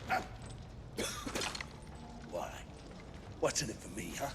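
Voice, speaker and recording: An actor playing a defiant, foul-mouthed cyberpunk captive in a video game, taunting and belligerent. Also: male voice, deep voice, expressive, takes it slowly, very noisy.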